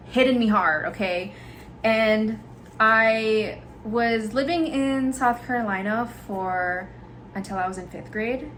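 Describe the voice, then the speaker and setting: A woman's voice: medium pitch, fairly bright tone, low-quality recording. Young woman from Hawaii, podcast host, casual and candid, rambling with fillers, faint noise behind. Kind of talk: casual personal storytelling.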